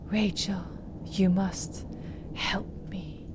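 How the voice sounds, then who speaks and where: A woman voicing a weak, ghostly lost sister in a visual novel, pleading slowly in a faint breathy whisper. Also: medium pitch, steady tone, background noise. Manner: slow, drawn-out, faint delivery.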